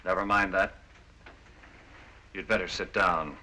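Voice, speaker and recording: An actor playing a cool, controlling spy recruiter in a training film drama, curt and menacing. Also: male voice, sits low, fairly even intonation, measured pace, pushed and bright, a little hiss or hum, heavily acted, rough recording. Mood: cool menace.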